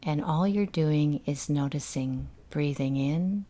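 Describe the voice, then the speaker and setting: A woman leading a guided meditation in a gentle, unhurried, soothing manner, leaving long pauses between instructions. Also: deep voice.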